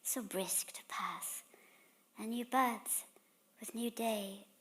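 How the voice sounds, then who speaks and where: A woman giving a dramatic reading of narrative poetry, with phrases that fall into a hushed breathiness. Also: a little high, fairly even intonation, takes it slowly. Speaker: performer reading poetry on stage.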